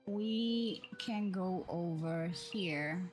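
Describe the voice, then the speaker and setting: Slow-talking woman game streamer. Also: on the low side. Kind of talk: casual livestream chat while playing. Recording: music under the voice.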